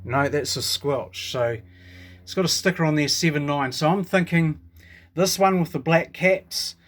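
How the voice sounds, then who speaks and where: A man's voice: fairly high pitch, unhurried. A man with a New Zealand accent giving a casual, hands-on show and tell, rambling and thinking aloud as he goes. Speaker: hobbyist radio reviewer. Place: indoors at a home workbench.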